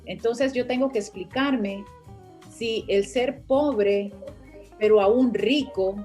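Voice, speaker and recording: A woman's voice: on the high side, slow, dark tone, faint music behind. A woman from Rica, a finance teacher speaking as the guest in an online interview and explaining her ideas step by step. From Costa Rica.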